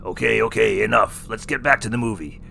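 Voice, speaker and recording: A man's voice: on the low side, fairly quick, vocal fry, sharp tone, slight background noise, heavily acted. An actor playing a wisecracking male clown who co-hosts a scripted horror review. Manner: punny, teasing. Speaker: co-host. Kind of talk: scripted comedic review banter.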